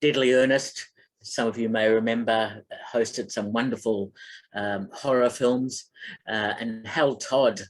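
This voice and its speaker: A man's voice: medium pitch, fairly even intonation, a little slow. An older Australian man, German his first language, giving an online talk about his life in film, rambling with many fillers and restarts. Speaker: film critic and speaker.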